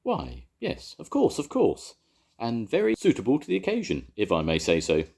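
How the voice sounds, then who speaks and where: A man voicing a grand, courteous male choir leader who talks in a high, flowery, formal way in a storybook. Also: wildly swooping pitch, normal speed, vocal fry, darkish tone, breathy edge, exaggerated voice. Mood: courtly.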